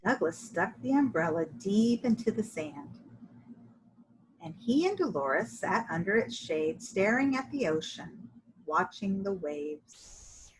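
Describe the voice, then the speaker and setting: A woman reading a children's story aloud in an animated, expressive way with drawn-out emphasis. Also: medium pitch, breathy edge, rough recording. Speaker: storybook reader.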